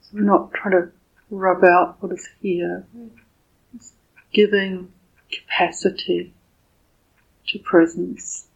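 Slow, husky woman guiding meditation. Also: on the low side, little pitch movement, highs cut off. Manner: gentle, deliberate, with long pauses between short phrases. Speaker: meditation teacher. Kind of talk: guided meditation.

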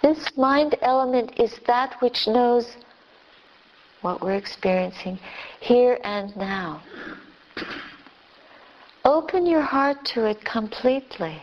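Very slow-speaking woman guiding meditation. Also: a little high, expressive, lo-fi sound. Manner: gentle, unhurried, long pauses between short phrases. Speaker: Buddhist nun, meditation teacher. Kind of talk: guided meditation.

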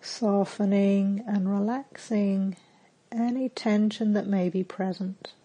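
Slow, very mellow woman guiding meditation. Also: mid-range voice, husky. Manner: calm, gentle, soothing, with long pauses. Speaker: meditation teacher. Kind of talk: guided meditation.

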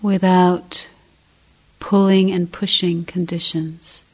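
A woman guides a meditation in a mellow, lowish voice. Her delivery is very slow and contemplative, with long pauses between short phrases. The sound is soft and breathy, often sinking almost to a whisper. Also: flat delivery, rough recording. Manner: unhurried, measured, in short phrases. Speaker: meditation teacher.

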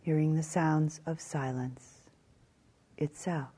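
A woman teaching guided meditation in a calm, measured way, leaving long pauses between short phrases. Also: deep voice, breathy edge.

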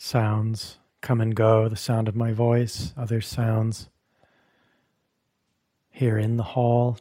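A man leading a guided meditation as a teacher, speaking gently in short phrases with long, unhurried pauses and a soft, husky tone. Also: lowish voice. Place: meditation hall at a retreat.

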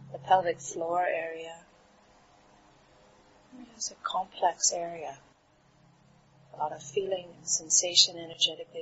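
Female meditation guide, lowish register, unhurried and soothing, leaving pauses; dull recording. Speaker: meditation teacher. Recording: dull, muffled recording with clear background noise.

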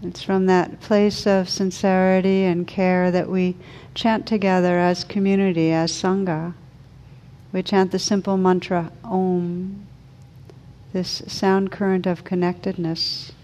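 Slow, soothing woman guiding meditation. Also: lowish voice, flat delivery. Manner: slow, soothing, gentle pauses. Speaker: meditation teacher. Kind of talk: guided meditation.

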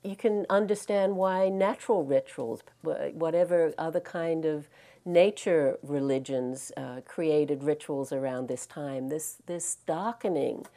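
A woman from Australia with a softened Australian accent, giving a gentle, reflective dharma talk as a meditation teacher, with frequent ums and restarts. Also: deep voice, unhurried. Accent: Australian, mixed with American. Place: meditation hall in California.

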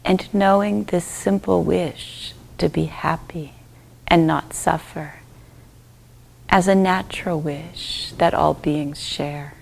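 A woman leading a guided meditation as a teacher, speaking gently and softly in short phrases with long pauses and breathy endings. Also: on the low side, fairly even intonation, rough recording. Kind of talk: guided loving-kindness meditation.

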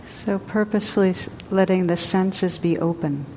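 A woman teacher guiding a group meditation in a gentle, unhurried way with long pauses. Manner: slow, gentle, spacious pauses. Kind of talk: guided meditation. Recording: long hall reverb, faint rumble.

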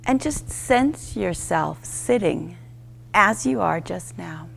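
A woman teaching a guided meditation, speaking in gentle, unhurried instruction with short, spaced phrases. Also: fairly low pitch, animated, gravelly, low-quality recording.

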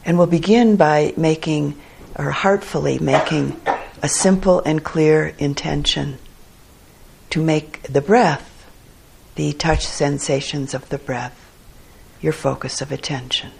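A woman meditation teacher leads a guided sit, speaking in gentle, unhurried phrases separated by long pauses. Her pace is very slow, and her voice has a gravelly, breathy husk. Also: deep voice, low-quality recording. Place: meditation hall.

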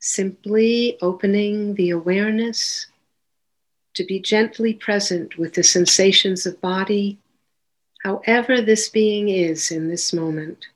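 A woman leading a guided meditation as a teacher, giving gentle, unhurried instructions with long pauses between phrases. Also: medium pitch, steady tone.

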